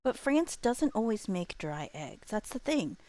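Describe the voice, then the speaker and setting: Lively, casual woman streamer, unhurried and close. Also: mid-range voice, no room sound. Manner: playful, expressive. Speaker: livestreamer. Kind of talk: casual livestream chat with viewers.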